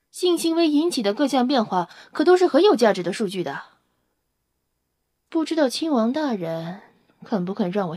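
A woman's voice: a little high, breathy edge, no room sound. A woman playing a nosy, coolly curious vampire scientist in an audio drama, blunt and inquisitive. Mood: eager curiosity. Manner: inquisitive, blunt, matter-of-fact.

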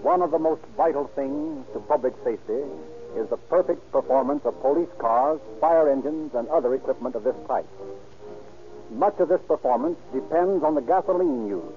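Man, radio announcer, declamatory, 1930s broadcast, tinny sound, faint music. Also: on the high side. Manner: emphatic, declamatory. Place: radio studio. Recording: tinny old radio recording.

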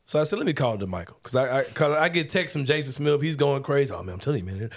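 A man's voice: medium pitch, expressive, fast talker. A man, hosting a casual sports talk show in a laid-back, joking, slangy way. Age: 40s. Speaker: podcast host.

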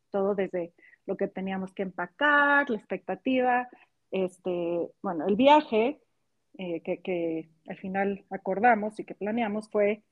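Guest: woman in her 40s, mid-pitched, chatty storyteller with fillers. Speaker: podcast guest. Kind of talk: casual storytelling.

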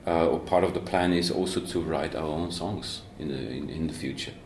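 A man's voice: very deep voice, steady tone, brisk, reverberant, a little hiss or hum. A man in a band, talking casually with many fillers and restarts, joking now and then, in a university coffee room. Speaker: band member and guest. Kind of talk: casual interview chat.

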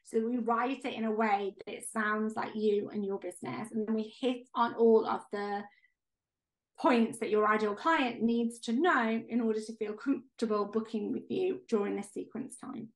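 A woman's voice: fairly high pitch, fairly even intonation, normal speed, rough recording. Chatty woman losing her voice. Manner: enthusiastic, chatty. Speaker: podcast co-host. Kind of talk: casual podcast chat.

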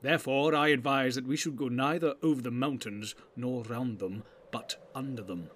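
A man's voice: mid-range voice, moderate pace, a bit bright, close to an everyday voice. A man playing a grave, commanding old wizard in a fantasy audiobook, speaking in weighty, archaic, oratorical phrases.

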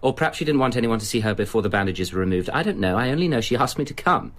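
A man's voice: medium pitch, lively intonation, very fast, fairly bright tone, lightly acted. An actor playing an evasive, self-important businessman who justifies himself defensively as a suspect in a radio drama.

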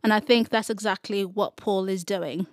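A woman's voice: medium pitch, steady tone, normal speed, no echo, clean studio sound. A woman co-hosting a casual Bible study chat, speaking earnestly and reflectively with playful asides.

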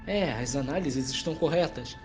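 A man playing a cautious, authoritative physicist in an audio drama, brisk, serious and decisive. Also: fairly high pitch, over music, natural voice. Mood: eager, questioning. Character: cautious, authoritative male physicist leading a secret project.

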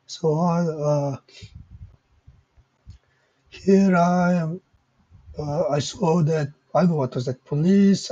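A man with a raspy voice from a cold, talking hesitantly with many fillers and self-corrections while recapping race results. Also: on the high side, darkish tone. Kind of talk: casual unscripted commentary. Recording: dull lo-fi mic.

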